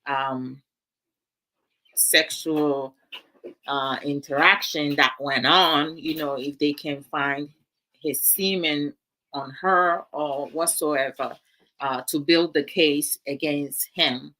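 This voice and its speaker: Slow, bright-voiced woman. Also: low-pitched, fairly even intonation, low-quality recording. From Ghana. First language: Twi. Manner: musing, hesitant, many fillers. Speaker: vlog host. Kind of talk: casual commentary to live viewers.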